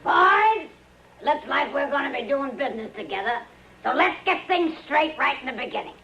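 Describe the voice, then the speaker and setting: A domineering, hefty saloon madam with an extremely high, sharp voice. She swoops loudly and bossily in folksy old radio western style. Faint noise runs under it. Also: expressive, normal speed, creaky, exaggerated voice, poor audio. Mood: bossy, forceful. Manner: loud, bossy, folksy. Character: brassy, domineering, hefty frontier saloon boss woman.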